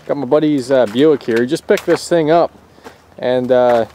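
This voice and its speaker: A man with a mid-pitched voice talks through a job in a casual, rambling how-to style. He sounds easygoing and explains things loosely. There is faint outdoor and vehicle noise behind him. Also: moderate pace. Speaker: host of a car radio install video. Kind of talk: casual hands-on how-to vlog. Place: in and around a parked car. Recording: steady background noise, outdoor and vehicle ambience.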